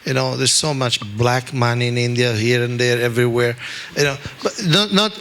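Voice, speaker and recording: A man with an Italian accent, speaking English as a second language, teaches a scripture class with lively, self-deprecating asides. His voice is bright and forceful. A faint hum of room noise sits behind him. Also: medium pitch, moderate pace. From Italy. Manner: animated, self-deprecating, frequent "okay?" asides. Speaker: spiritual teacher.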